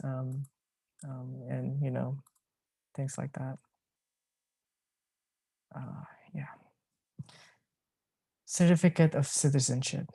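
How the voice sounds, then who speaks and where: A man reads his own poems at a measured pace. His voice is dark and soft-edged with a gravelly creak, and it stays fairly flat in pitch, captured close and dry. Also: dry recording. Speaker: poet. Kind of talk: poetry reading.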